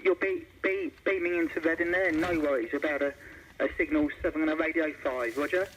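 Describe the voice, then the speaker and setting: Clear static surrounds a somewhat high-voiced man on CB radio. He chats casually in operator jargon, haltingly, often repeating a word before moving on. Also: fairly even intonation, fairly bright tone. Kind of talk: casual radio chat with call signs. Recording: clearly noisy CB radio link.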